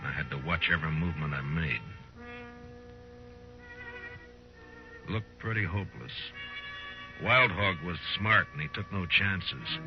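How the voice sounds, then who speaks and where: An actor playing a steady, tough, plain-spoken frontier marshal in a 1950s radio western, with a terse, world-weary delivery. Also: male voice, deep voice, moderate pace, music in the background. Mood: grim narration. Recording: dull, faintly noisy 1950s radio recording.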